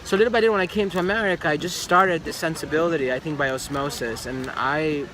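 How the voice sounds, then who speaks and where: A man's voice: on the high side, fast, fairly bright tone. A man from Albania talking casually as an interview guest, emphatic and repeating phrases for stress. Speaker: fashion designer, interview guest. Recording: noisy outdoor street with traffic.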